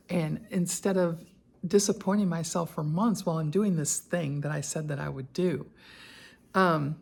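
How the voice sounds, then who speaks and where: A woman's voice: on the low side, gravelly, darkish tone, slightly rough. Chatty woman in her sixties. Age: 60s. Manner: chatty and candid, laughs often; conversational with frequent fillers and asides. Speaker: lifestyle vlogger. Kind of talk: personal reflective vlog.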